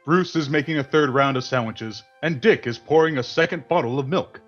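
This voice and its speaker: A man's voice: fairly high pitch, fairly quick, soft background music. A man reading scripted narration for an old-time radio drama over an internet call. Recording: dull lo-fi internet call audio.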